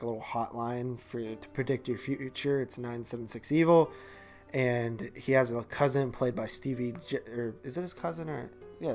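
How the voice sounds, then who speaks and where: A man's voice: medium pitch, a little mellow. A man co-hosting a podcast, reviewing movies in a casual, rambling, slangy way. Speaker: podcast co-host.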